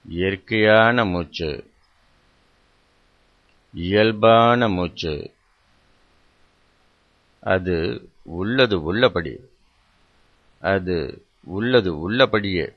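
A man with a fairly low voice leads a guided meditation. He speaks very slowly and deliberately, repeating instructions and leaving pauses. The recording sounds somewhat muffled and lo-fi.

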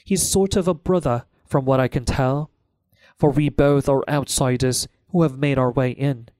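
A man's voice: medium pitch, normal speed, darkish tone, no echo. A man reading first-person fiction as an audiobook narrator, in a scripted storytelling style.

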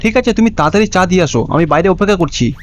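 High-voiced, earnest young husband played by a man. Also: animated, racing, a little hiss or hum. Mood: cheerful. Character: supportive young husband, gentle and dutiful. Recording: dull lo-fi sound with music.

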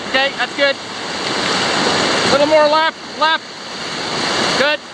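Brisk paddler calling commands over roaring rapids. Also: high-pitched, little pitch movement, heavy background noise. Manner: brisk, directive, encouraging. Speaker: canoe trip leader. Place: outdoors in a canoe on river rapids. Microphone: action camera on the canoe. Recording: loud roar of rushing rapids.

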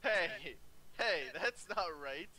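A man's voice: sits high, expressive, takes it slowly, pushed and bright, slight background noise. A man chatting casually and bantering on a group livestream.

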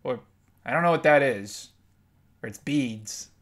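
A man's voice: mid-range voice, measured pace. A young man casually commenting on a video game as he plays, joking and swearing freely. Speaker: gameplay commentator. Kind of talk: let's play commentary. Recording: voice over game music.

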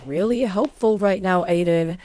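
A woman playing an anxious, sarcastic young woman in an animated roleplay drama, often speaking hesitantly. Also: fairly low pitch, normal speed, dry recording, lightly acted. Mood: sarcastic, annoyed.

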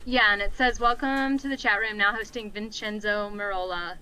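A woman's voice: medium pitch, steady tone, normal speed, bright, forceful tone, clear, resonant tone. A woman chatting casually on an online voice call. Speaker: guest script reader. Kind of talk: casual chat.

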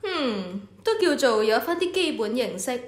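A woman's voice: a little high, big pitch swings, measured pace, echoey room. A woman with a Hong Kong Cantonese accent playing an instructive senior temple official in an educational radio drama. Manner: authoritative, instructive. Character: official in charge of the Temple of Heaven, instructive superior. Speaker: voice actor.

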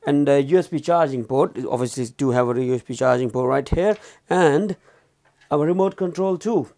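A man's voice: fairly high pitch, normal speed, mellow. A man giving a casual, unscripted product demonstration as a reviewer, repeating and rephrasing as he explains. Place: small indoor room at a desk.

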